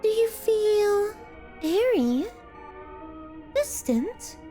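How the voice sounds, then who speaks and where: A woman's voice: very high voice, very animated, drawn-out words, warm tone, faint music behind, exaggerated voice. A woman playing an obsessive, menacingly adoring female kidnapper in an ASMR roleplay, speaking in florid, formal, theatrical phrases. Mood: gloating, curious. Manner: florid, theatrical, formal diction, lingering over words. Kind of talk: scripted ASMR roleplay monologue.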